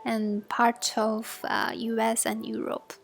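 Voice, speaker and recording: Soft-spoken young Chinese woman, flat delivery. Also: fairly high pitch, vocal fry, faint music behind. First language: Mandarin. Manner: halting, with frequent fillers and soft, partly whispered stretches. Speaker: student presenting her work. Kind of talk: recorded assessment presentation. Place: at home. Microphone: basic home equipment.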